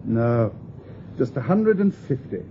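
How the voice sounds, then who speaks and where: An actor playing a glib, knowing city local with a British accent, slyly drawing out a stranger in a radio drama. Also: male voice, medium pitch, very animated, slow, dark tone. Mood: chatty, wry. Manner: glib, wry, conversational. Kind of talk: BBC radio drama acting. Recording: dull lo-fi radio recording with hiss.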